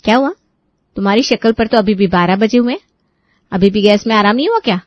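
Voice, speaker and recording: A woman's voice: medium pitch, fast talker, dull audio, close to an everyday voice. A woman voicing a bossy, suspicious young wife who scolds and insists in a comic audio story. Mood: nagging concern.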